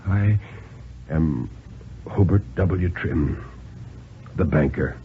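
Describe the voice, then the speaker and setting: A man voicing a weak, humble old fugitive in a slow, halting way on a 1950s radio reading. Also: low voice, pitched below the performer's own voice, full character voice. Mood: exhausted, surrendering. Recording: muffled 1950s radio recording with hiss.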